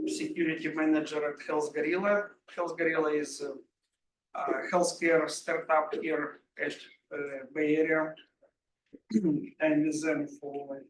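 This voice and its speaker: A middle-aged man from Ukraine with a Russian accent gives an informal technical workshop talk, haltingly and often clearing his throat. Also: on the high side, fairly bright tone. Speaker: workshop presenter, security engineer. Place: indoor room at a live workshop. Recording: roomy echo, distant mic, faint noise.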